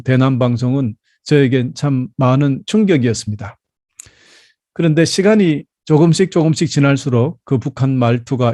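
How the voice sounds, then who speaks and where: A man in his fifties from South Korea preaching a Sunday sermon as a pastor, telling personal stories in a measured, explanatory way. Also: mid-range voice, warm tone, no echo, clean studio sound. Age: 50s.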